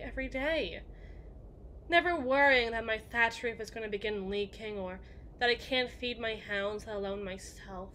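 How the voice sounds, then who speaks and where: A woman's voice: a little high, fairly bright tone, a little hiss or hum, low-quality recording. A woman playing a melancholy, guilt-ridden evil queen in an ASMR roleplay monologue, speaking in formal, introspective phrases to someone she loves.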